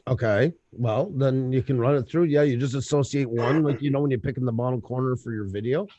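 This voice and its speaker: A man's voice: medium pitch, brisk, warm tone, no echo. A man hosting a casual livestream chat, explaining tech setups step by step and laughing easily. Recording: dull lo-fi audio.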